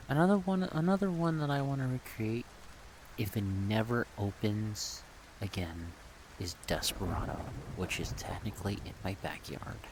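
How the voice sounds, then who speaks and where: A man talking softly in a casual, halting ASMR ramble. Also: on the low side. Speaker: ASMR creator rambling about a project. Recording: steady rain-like background noise.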